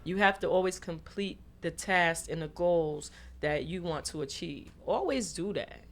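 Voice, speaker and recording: A woman's voice: lowish voice, measured pace. A middle-aged American woman speaking casually as a radio guest, handing out motivational advice in an emphatic, repetitive way. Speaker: radio guest, mother of the host.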